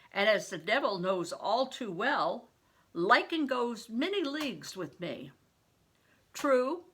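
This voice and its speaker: A woman's voice: a little high, pushed and bright, voice pushed up, exaggerated voice. An older woman voicing a big, fatherly male traveling performer in a storybook, blunt, devout and hearty, with old-fashioned emphatic speech.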